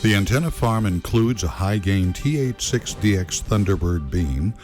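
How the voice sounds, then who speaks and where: A man narrates a promotional tour video in a fairly low voice. His intonation moves up and down freely, and music plays underneath his scripted delivery. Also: animated, normal speed, darkish tone. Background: background music.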